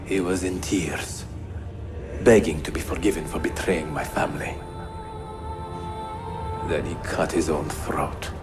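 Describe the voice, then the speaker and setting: An actor playing a grave, sorrowful old master assassin in a game cutscene, speaking slowly and solemnly. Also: male voice, rumbling low, fairly even intonation, over music. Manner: slow, solemn, measured. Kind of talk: video game cutscene acting.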